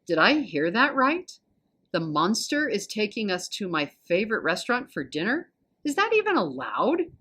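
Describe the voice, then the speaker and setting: A woman with an American accent reading a children's novel aloud as an expressive storyteller. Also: mid-range voice, normal speed, slightly rough. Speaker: volunteer storybook reader.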